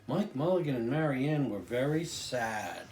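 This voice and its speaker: Older man reading, lively rise and fall. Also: medium pitch, moderate pace, reverberant, faint music behind. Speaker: storybook reader, grandfather. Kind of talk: reading a children's storybook aloud.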